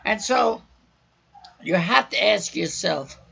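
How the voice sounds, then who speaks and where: Raspy loud guy preaching on his podcast, gravelly and bright, kinda dull lo-fi sound. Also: high voice, expressive, slow. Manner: loud, raucous delivery. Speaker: podcast host.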